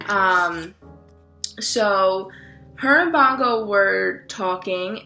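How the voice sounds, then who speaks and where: A woman's voice: mid-range voice, creaky, pushed and bright, echoey room. A woman hosting a children's book read-aloud, explaining the story and putting questions to her listeners.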